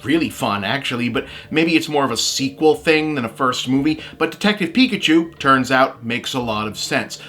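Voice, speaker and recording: A man's voice: medium pitch, fairly bright tone. A man hosting a video essay, reading scripted commentary with casual, wry, rambling asides in a conversational way.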